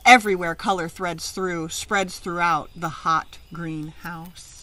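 A middle-aged woman reads a children's picture book aloud outdoors, with expressive, emphatic, sing-song phrasing. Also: on the low side, takes it slowly. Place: outdoors in a leafy garden.

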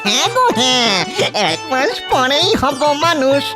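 A woman voicing an excitable, childlike boy ghost in a children's cartoon, with a sing-song, wheedling delivery. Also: high-pitched, measured pace. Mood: gleeful. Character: excitable childlike young male ghost, pleading and gleeful. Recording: loud music over the voice.

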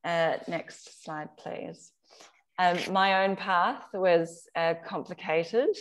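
A woman, a surgeon giving an informal slide talk over a video call in conversational, halting phrases. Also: on the low side, poor audio. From Samoa. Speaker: surgeon, guest speaker. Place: video call, remote webinar.

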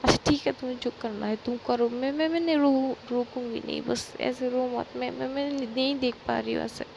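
A woman's voice: high voice, steady tone, normal speed, gravelly, background noise. A woman voicing a panicked young woman who stammers, repeats herself and begs. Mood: frantic. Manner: stammering, begging, repeating words.

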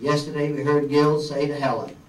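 A man's voice: on the high side, fairly even intonation, fairly quick, close to an everyday voice. An older man playing a dramatic announcer of an old-time radio soap opera. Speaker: amateur radio play announcer. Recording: echoey room from far off.

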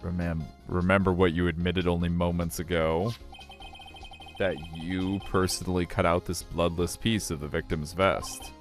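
A man plays a determined young defense lawyer from a courtroom game. He speaks low, firm and deliberate as he accuses, with music underneath. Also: flat delivery. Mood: accusing.

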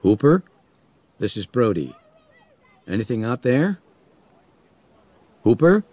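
A man's voice: mid-range voice, big pitch swings, takes it slowly, warm tone, dry recording, voice pushed up. A man voicing a firm, blunt, wary small-town police chief in an audiobook. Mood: businesslike. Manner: firm, blunt, plain-spoken.

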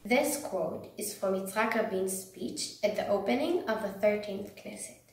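A woman's voice: medium pitch, a little slow, darkish tone. A teenage female student reading out a speech in an echoey room, some way from the microphone. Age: teens. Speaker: high school student, reader. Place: indoors against a plain wall. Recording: echoey room, distant mic.

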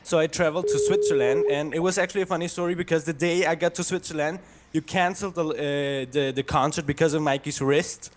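A man's voice: on the high side, fairly even intonation, fast, slight background noise, rough recording. A young man from Austria with an Austrian German accent, calling in as a fan over a video call, talking hesitantly with many fillers. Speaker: caller, fan.

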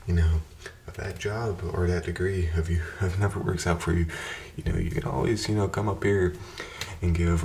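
Deep gravelly young man, soft ASMR talk. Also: heavy background noise. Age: 20s. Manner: relaxed, repetitive, soothing chatter. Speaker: ASMR creator playing a barber. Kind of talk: ASMR roleplay, soft-spoken and whispered. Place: bright indoor room with plants. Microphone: close desk microphone in front of him.

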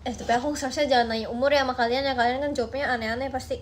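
A woman in her twenties chatting playfully on a late-night livestream from her bedroom, talking into a phone held at arm's length, sometimes dropping to soft murmurs. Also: sits high, steady tone, very fast, a little hiss or hum. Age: 20s. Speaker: idol livestreamer.

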